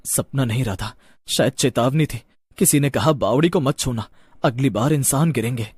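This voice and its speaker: A man playing a brooding, husky young villager. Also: medium pitch, moderate pace, darkish tone, dry recording, clean studio sound, close to an everyday voice. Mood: uneasy resolve.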